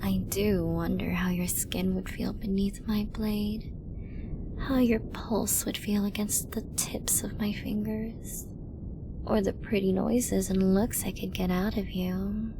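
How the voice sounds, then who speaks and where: A woman's voice: mid-range voice, level tone, slow pace, background noise. A woman playing a flirty, dominant, menacing villain in an ASMR roleplay, teasing breathily and often dropping to a whisper. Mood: hushed desire. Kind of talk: ASMR roleplay audio drama.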